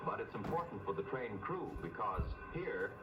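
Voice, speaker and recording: An American man reading scripted narration for a 1950s educational film in a clear, measured storytelling manner meant for children. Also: fairly low pitch, big pitch swings, over music. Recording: old 1950s film soundtrack, noisy, echoey, distant.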